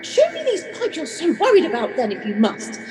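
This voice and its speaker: A woman's voice: sits high, wildly swooping pitch, fairly quick, darkish tone, a little hiss or hum. An actress playing a haughty, commanding, dismissive woman in charge of a hotel in an audio drama. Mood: sharp, irritated. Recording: echoey reverberant hall, music behind.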